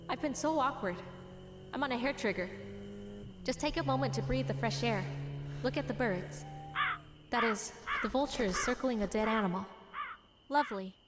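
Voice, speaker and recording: A woman's voice: fairly high pitch, natural voice. A woman playing an anxious, self-doubting but determined young college woman in a comic dub, awkward and questioning herself. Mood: uneasy musing. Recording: long reverb, clear background noise.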